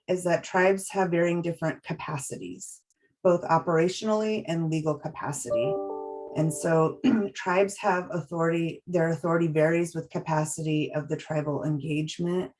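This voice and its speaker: A woman presenting a training webinar, walking through slides in a measured, explanatory way with frequent pauses and restarts. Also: sits low, a little mellow.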